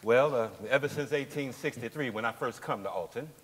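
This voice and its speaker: A man's voice: medium pitch, a bit bright, natural voice. Echoey stage, actor as middle-aged working guy testifying in court, polite, careful, hesitant but steadfast. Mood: plain, polite. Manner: deliberate, hesitant, formally polite. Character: steadfast forty-nine-year-old working man testifying as witness. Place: large indoor theatre stage. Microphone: lapel mic. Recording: echoey theatre stage, long reverb.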